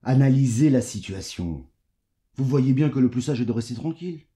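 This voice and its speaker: A man's voice: mid-range voice, lively intonation, moderate pace, dark tone, close to an everyday voice. A man playing a condescending, sardonic fixer with veiled menace, in a French audio drama. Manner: patronising, sardonic.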